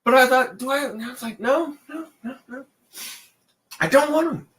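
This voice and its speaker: A man co-hosting a casual late-night chat show, talking in a loose, rambling way and pushing his pitch up and down for emphasis. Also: moderate pace, fairly bright tone, slight background noise. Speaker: podcast co-host.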